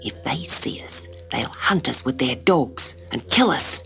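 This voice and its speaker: A woman voicing a gentle, protective mother kangaroo who speaks kindly and calmly. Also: deep voice, slow pace, vocal fry, soft background music, full character voice. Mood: hushed. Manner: kindly, calm, hushed when afraid. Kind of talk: storybook character voice.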